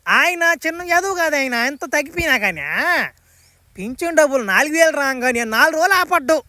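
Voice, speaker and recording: A woman voicing a domineering, sharp-tongued old village woman in a comic cartoon, with a rural Telugu dialect and a coarse, mocking manner. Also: sits high, lively intonation, quick pace, dry recording, clean studio sound. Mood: gossipy, mocking.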